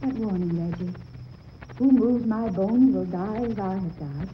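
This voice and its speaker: A woman's voice: medium pitch, a little slow, natural voice. An actress playing a refined, inquisitive, anxious young woman in a theatrical 1940s radio horror style. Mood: uneasy. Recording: dull, echoey, faintly noisy vintage radio.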